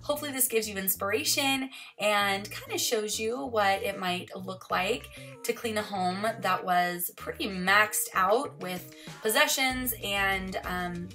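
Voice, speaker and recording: Chatty woman over soft music. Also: mid-range voice. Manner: chatty, relaxed, laughs often. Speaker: vlogger. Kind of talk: casual voice-over chat. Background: soft music underneath.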